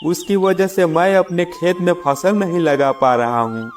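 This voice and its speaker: Music runs under a fairly high male voice, acted out by a man. It is a greedy cartoon farmer, eaten up by jealousy. He grumbles and schemes his way through a moral tale. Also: measured pace. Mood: complaining. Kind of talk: acted children's moral story.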